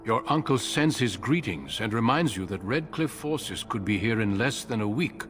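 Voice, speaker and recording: An actor playing a grave, seasoned middle-aged warrior commander in a fantasy game, measured, formal and courteous. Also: male voice, mid-range voice, expressive, a bit bright, faint music behind, natural voice. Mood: formal, sober. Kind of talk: fantasy video game acting.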